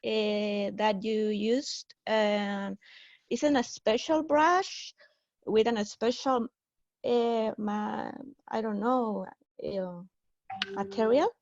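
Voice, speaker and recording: A woman's voice: a little high, fairly even intonation, very slow, no room sound, dull audio. A woman from Chile with a Chilean Spanish accent, a native Spanish speaker asking a question hesitantly in English. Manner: hesitant, searching for English words. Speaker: webinar participant.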